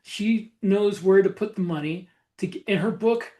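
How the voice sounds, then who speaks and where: High-pitched man chatting about his trade. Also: normal speed, warm tone. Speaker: author and podcast co-host. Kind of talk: casual shop talk about book publishing.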